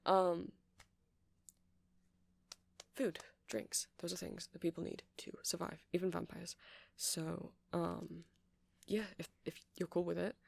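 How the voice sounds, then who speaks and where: A woman's voice: lowish voice, level tone, slow pace, vocal fry, long pauses, a little mellow, heavily acted. A woman playing an awkward, flustered male vampire who runs a music shop, stammering and rambling in an intimate ASMR roleplay. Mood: friendly, rambling. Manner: hesitant, stammering, rambling, apologetic.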